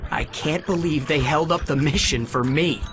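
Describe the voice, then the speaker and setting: Young male co-pilot, played by an actor: brash, wisecracking, slightly high-pitched, video game drama over music. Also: normal speed, lightly acted. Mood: curious. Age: young adult. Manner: cocky, wisecracking. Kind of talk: video game cutscene acting. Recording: music behind the voice.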